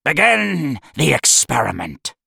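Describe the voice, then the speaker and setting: A man voicing a stooped, short-tempered old male scientist who barks commands in a rasping voice. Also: mid-range voice, wildly swooping pitch, takes it slowly, sharp tone, no room sound, clean studio sound, pitched above the performer's own voice, heavily acted. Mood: commanding. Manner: commanding, snapping.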